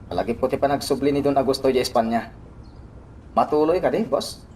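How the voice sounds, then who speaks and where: Man acting dutiful henchman, Ilocano Filipino accent, medium pitch, off-mic, faint noise, radio drama. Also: fairly quick, a little mellow, close to an everyday voice. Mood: deferential. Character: deferential, questioning henchman of a crime boss. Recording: slightly distant, faintly noisy.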